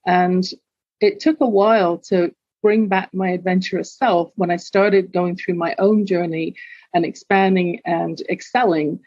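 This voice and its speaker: A woman's voice: fairly low pitch, fairly even intonation, measured pace, a little mellow, no echo, highs cut off. An older woman with a London accent, a podcast guest telling her life story in an earnest, reflective way. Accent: London English. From England. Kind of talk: candid personal storytelling.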